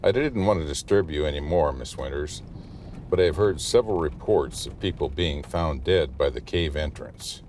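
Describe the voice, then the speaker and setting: Audio drama role: grave, cautious local guide, extremely low voice, formal and measured delivery, male actor, some background noise. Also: animated, darkish tone. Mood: grave, warning. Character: grave, cautious male small-town guide warning a reporter.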